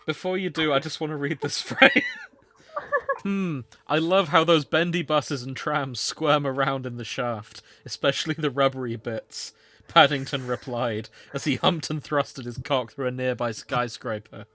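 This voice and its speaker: A man's voice: mid-range voice, fairly even intonation, moderate pace, pushed and bright. Casual man hosting a podcast. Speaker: podcast host. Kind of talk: casual comedic podcast chat.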